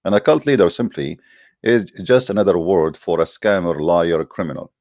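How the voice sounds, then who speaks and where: A man's voice: sits low, animated, moderate pace, darkish tone, no room sound, studio quality. A man from the Middle East, a native Arabic speaker with an Arabic accent in English, hosting a religious call-in debate with a combative, boastful, emphatic monologue in short phrases.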